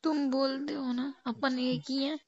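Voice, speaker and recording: A woman's voice: sits high, flat delivery, unhurried, darkish tone, no room sound. A young woman in casual, insistent and questioning talk with her boyfriend on a phone call. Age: young adult. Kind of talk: casual phone chat between a couple. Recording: dull phone call recording with noticeable noise.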